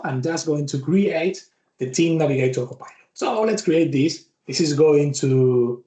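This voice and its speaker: A man with an Argentine Spanish accent walks through a software demo as a bootcamp instructor, his voice sitting a little high for a man. He thinks out loud and restarts words as he clicks along. The sound has a roomy echo around it. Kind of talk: live software demo walkthrough.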